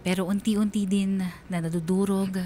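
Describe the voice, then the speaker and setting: A woman's voice: medium pitch, steady tone, normal speed, dark tone, slight background noise, lightly acted. A woman playing a lovelorn young woman, narrating her secret love earnestly and confessionally in a radio drama. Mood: aching, resigned.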